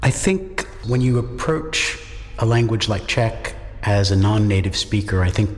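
A man who teaches Czech at a university, answering interview questions in a measured, reflective way with pauses. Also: on the low side, expressive, big-room sound, faint music behind. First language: English. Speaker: university lecturer in Czech studies.

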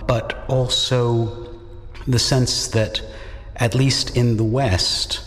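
A man who teaches Czech at a university, answering interview questions in a measured, reflective way with pauses. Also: medium pitch, flat delivery, big-room sound. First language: English. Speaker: university lecturer in Czech studies.

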